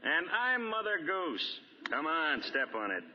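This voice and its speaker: An actor in a 1940s radio drama playing a sarcastic homicide detective, tough, brusque and wisecracking. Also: male voice, on the high side, very animated, a little slow, heavy background noise. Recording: dull, reverberant vintage radio recording.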